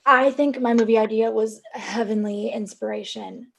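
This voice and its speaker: Woman playing wry young assistant, higher-pitched. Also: normal speed, slight background noise, natural voice, rough recording. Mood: earnest. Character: wry, guarded young female assistant with a dream. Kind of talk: acting in a table read.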